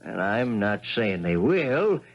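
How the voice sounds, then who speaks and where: Folksy, sly country judge, lively pitch. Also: male voice, slow, lo-fi sound, exaggerated voice. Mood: probing, folksy. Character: folksy small-town Southern judge, sly and probing. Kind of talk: 1970s radio drama acting. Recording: 1970s radio broadcast.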